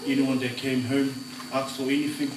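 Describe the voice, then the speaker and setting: A man in his thirties from New Zealand, the son of the deceased, giving a halting, heartfelt funeral speech with many fillers. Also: mid-range voice, level tone. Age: 30s. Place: funeral home chapel. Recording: echoey hall, far mic, loud noise.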